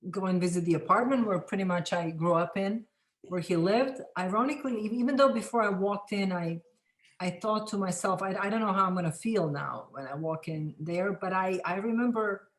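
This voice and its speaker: A middle-aged woman from Serbia with a Serbian accent, telling her story as an interview guest, halting often and restarting her sentences. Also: lowish voice. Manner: halting, many restarts and fillers. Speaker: film director, interview guest. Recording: echoey room.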